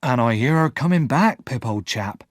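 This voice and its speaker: A big, gentle blacksmith with a mild, calm manner, voiced by a male storybook reader. The voice stays close to the reader's own, plain and steady. It is recorded close and dry. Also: medium pitch, moderate pace, no echo, close to an everyday voice. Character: huge gentle kind blacksmith, simple and quiet.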